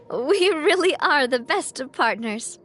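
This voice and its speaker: A woman's voice: high voice, lively intonation, slow, a bit bright, a little hiss or hum, full character voice. A woman playing a young, courteous and composed female leader in a video game. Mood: gracious, friendly. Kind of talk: scripted game dialogue acting.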